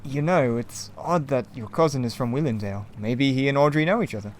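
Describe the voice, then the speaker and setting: A man's voice: mid-range voice, brisk, a little hiss or hum, lightly acted. A young man acting a nervous, evasive youth with a hesitant delivery in an amateur Minecraft roleplay. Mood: inquisitive. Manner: hesitant, stammering.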